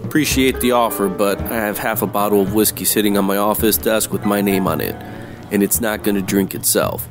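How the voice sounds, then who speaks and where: A man plays a hardboiled, sardonic private eye narrating a noir radio play. His deadpan voice sits on the low side, with music underneath. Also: brisk, close to an everyday voice. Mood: wisecracking. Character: wisecracking hardboiled male 1940s private detective, world-weary.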